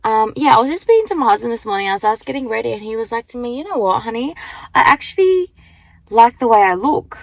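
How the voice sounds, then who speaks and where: A young woman with a croaky morning voice, chatting casually into a handheld phone at the beach. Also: a little high, big pitch swings, quick pace, dry recording. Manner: chatty, rambling, lots of fillers. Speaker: vlogger. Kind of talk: casual chat vlog. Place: car at the beach, then walking outdoors.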